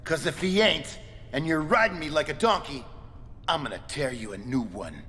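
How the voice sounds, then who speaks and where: An actor playing a tough, foul-mouthed, short-tempered soldier in a video game, with a gruff, threatening, slangy delivery. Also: male voice, fairly high pitch, animated, moderate pace, sharp tone, big-room sound. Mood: irritated, threatening. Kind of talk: video game action acting.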